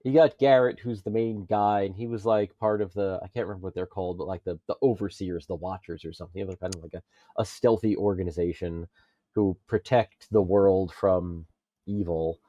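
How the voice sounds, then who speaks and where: A man on a casual pop culture podcast, talking in a halting way as he thinks aloud. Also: low-pitched, steady tone, dark tone, dry recording, lo-fi sound. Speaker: podcast panelist.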